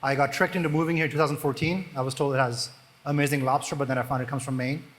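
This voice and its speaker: A man, a startup founder, speaking on a conference panel with relaxed, dry humor. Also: mid-range voice, racing.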